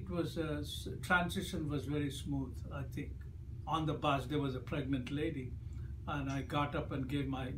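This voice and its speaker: An older man from India recounting his schooling and move to the United States in a measured way, pausing often with fillers. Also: a little high. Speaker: interviewee. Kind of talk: reflective personal account. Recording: noisy ambience behind.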